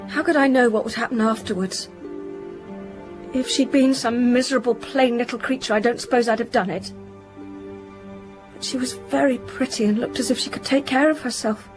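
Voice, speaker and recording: High-voiced, earnest young woman played by an actress. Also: steady tone, moderate pace, pauses often, music in the background, lightly acted. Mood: confessional, upset. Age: young adult. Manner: earnest, defensive, faltering under questioning. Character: proud, guilt-stricken young well-to-do daughter. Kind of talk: radio drama acting.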